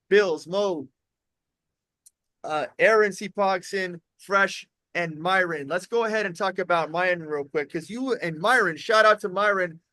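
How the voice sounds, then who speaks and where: Hype guy hosting a livestream chat, sharp bright voice, super slangy n effusive, dry sound. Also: high-pitched, dry recording. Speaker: livestream host. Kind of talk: hype-heavy casual interview.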